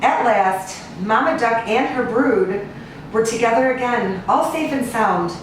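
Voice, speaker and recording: A distant, very reverberant recording with some noise carries an American woman's voice. She reads like a children's storyteller, expressive and sing-song, in a fairly high voice and at an unhurried pace. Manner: expressive, sing-song listing with drawn-out repeats. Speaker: animal cruelty investigator and rescue agent reading to children. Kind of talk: children's storybook read-aloud. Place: echoey indoor room, away from the mic. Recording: distant, very echoey room with hiss.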